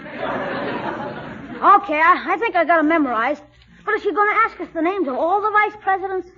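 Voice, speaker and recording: Schoolboy, very high voice, wisecracking, cagey, 1940s radio sitcom, muffled, faintly noisy recording. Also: male voice, animated, moderate pace, some chatter behind. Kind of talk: 1940s radio comedy acting.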